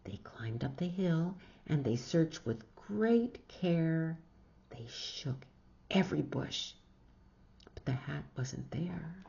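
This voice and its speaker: A woman tells a children's story in a slightly low voice. Her theatrical delivery is very slow with lively intonation, and the recording is a little dull. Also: gravelly. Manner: theatrical, exclaims reactions between lines. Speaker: storybook reader. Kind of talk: expressive read-aloud storytelling for children.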